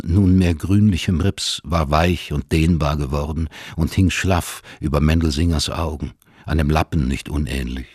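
A male narrator with a deep, husky voice reads literary prose. His storytelling is measured and sober, and the recording is very dry, as in a radio play. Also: no echo.

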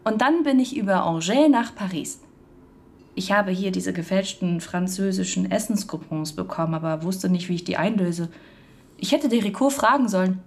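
A woman's voice: medium pitch, normal speed, close to an everyday voice. An actress playing an earnest, polite young woman in the wartime resistance, chatty and eager, in a naturalistic radio drama. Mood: eager, flustered.

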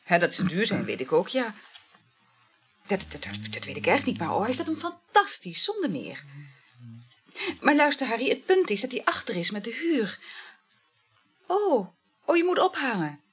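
An actress in a radio play voicing a dreamy, flirtatious married woman, speaking in a breathy, languid, halting murmur. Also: on the high side, huge pitch swings, heavily acted. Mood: soothing, evasive. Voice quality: breathy, husky. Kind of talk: intimate radio play acting.

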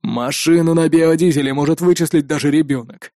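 A man's voice: a little high, steady tone, fairly quick, mellow, no room sound, studio quality, close to an everyday voice. Man acting a cold, menacing armoured raider. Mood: scornful. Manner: scornful, threatening.

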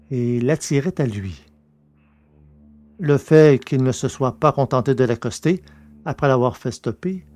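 Measured male story narrator, medium pitch. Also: a little mellow, no echo. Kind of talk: scripted narration of a science-fiction story.

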